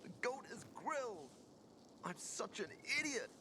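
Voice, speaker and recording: A man's voice: very high-pitched, big pitch swings, measured pace, background noise, exaggerated voice. A male actor playing a flustered, self-berating bungler in a video game, speaking in an agitated way. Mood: dismayed. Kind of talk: game cutscene acting.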